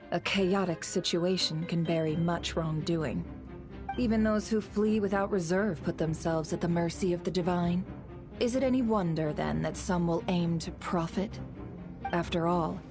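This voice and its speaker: Poised, philosophical game woman, female actor: measured, knowing, slightly low register. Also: lightly acted. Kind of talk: dramatic game voice acting.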